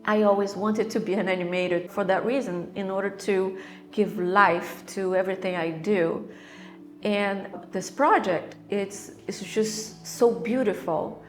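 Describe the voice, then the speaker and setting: Halting Brazilian-born woman artist, flat. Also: mid-range voice, soft background music. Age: middle-aged. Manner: halting, searching for words, repeats words. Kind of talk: documentary interview.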